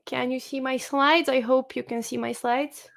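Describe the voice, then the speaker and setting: Woman teacher, hesitant webinar presenter on a video call, slightly high voice. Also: steady tone, moderate pace, creaky. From Serbia. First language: Serbian. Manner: hesitant, many filler sounds. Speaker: music teacher.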